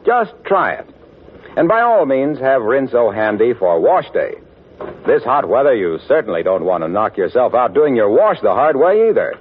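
A man's voice: medium pitch, big pitch swings, moderate pace, slight background noise. A man working as a 1940s radio announcer, reading scripted copy in a folksy, persuasive style. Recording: tinny, narrow-band vintage radio recording.

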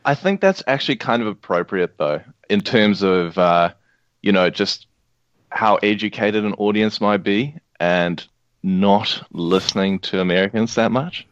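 Relaxed New man, low voice. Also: measured pace, no room sound. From New Zealand. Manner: relaxed, hesitant, laughs easily. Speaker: podcast guest. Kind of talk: casual chat. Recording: dry, dull lo-fi sound.

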